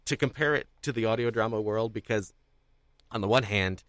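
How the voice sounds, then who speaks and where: A man with a fairly low voice, recorded close and dry. He chats casually as a podcast co-host, rambling and circling back as he works out his phrasing, with a self-deprecating, jokey streak. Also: brisk, a bit bright, dry recording. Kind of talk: casual panel chat.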